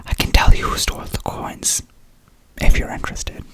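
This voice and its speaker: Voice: normal speed, close to an everyday voice. An ASMR creator whispering the lines of a dapper, smug little male detective in a storybook reading.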